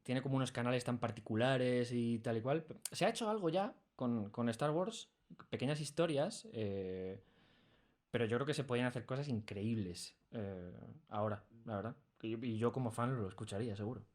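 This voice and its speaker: A man's voice: medium pitch, moderate pace, a little mellow. A man with an accent from Spain chatting casually as a studio interview guest, rambling enthusiastically. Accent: Spanish from Spain. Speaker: interview guest, audio drama creator. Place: recording studio.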